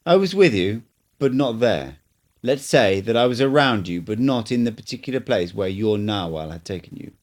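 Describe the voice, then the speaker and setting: A patient old sorcerer explains the nature of things in an unhurried, instructive tone, as a man reading an audiobook puts him on. The voice sits on the low side, and the delivery stays measured and cryptic. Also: expressive, a little mellow, lightly acted. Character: old male sorcerer teacher, calm, cryptic.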